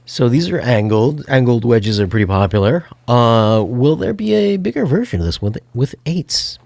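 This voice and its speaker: A man's voice: mid-range voice, sing-song, moderate pace, creaky, a little mellow, dry recording. A man asking questions in a casual interview, informal and a little hesitant.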